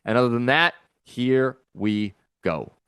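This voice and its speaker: A man hosting a podcast, talking in an upbeat, energetic and encouraging way. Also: mid-range voice, takes it slowly, background noise. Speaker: podcast host. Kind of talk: podcast intro and interview.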